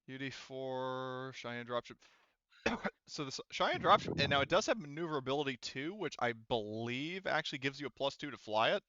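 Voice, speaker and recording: A man running a tabletop roleplaying game as game master, improvising narration in a casual, profane, halting way. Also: mid-range voice, lively intonation, bright, forceful tone, no echo, lo-fi sound.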